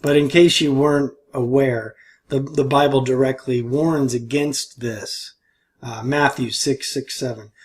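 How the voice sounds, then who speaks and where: A man giving casual, disapproving commentary and reading aloud somewhat haltingly. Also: mid-range voice, fairly even intonation.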